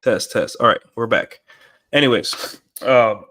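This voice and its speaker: A man's voice: mid-range voice, measured pace, a bit bright. A man with a stuffed-up nose from a cold, talking in a rambling, casual livestream monologue and often checking in with "right?". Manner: rambling, keeps asking "right?". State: congested from a cold. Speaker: streamer. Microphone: desk mic through an audio interface.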